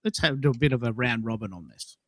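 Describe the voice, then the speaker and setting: A man's voice: medium pitch, quick pace, no room sound, clean studio sound. A man in his sixties hosting a conversational round-robin talk show, putting questions to guests. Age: 60s. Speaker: show host.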